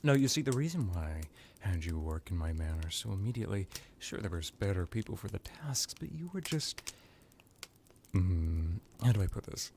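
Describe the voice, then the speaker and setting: A man playing a suave, menacing vampire lord in an ASMR roleplay, musing languidly with drawn-out words and condescending affection. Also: low-pitched, very animated, a little mellow, slight background noise. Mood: smug, fond. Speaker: character roleplay performer. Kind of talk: ASMR villain roleplay monologue.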